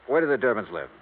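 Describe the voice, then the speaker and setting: An actor playing a hard-boiled, wry insurance investigator in a 1950s radio detective drama, blunt and pressing. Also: male voice, medium pitch. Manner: blunt, pressing questioner, dry wry narrator. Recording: tinny, hissy 1950s radio recording.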